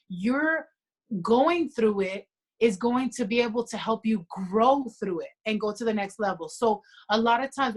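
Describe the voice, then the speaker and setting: Woman in her 40s, slightly high voice, earnest and reflective, podcast guest. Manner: earnest, reflective, speaks with quiet conviction. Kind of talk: casual conversation.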